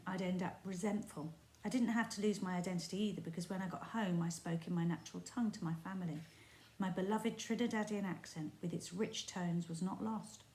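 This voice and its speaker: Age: adult. Sex: woman. Role: teacher reading a storybook aloud. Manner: steady, expressive, slightly low voice in a roomy space. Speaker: primary school teacher. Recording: roomy echo.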